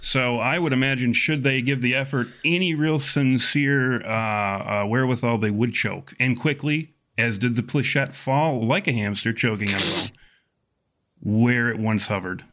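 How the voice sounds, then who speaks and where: A man running a tabletop horror game, narrating in a wry, wordy, deliberate way with dramatic pauses. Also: medium pitch, fairly bright tone. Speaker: game master.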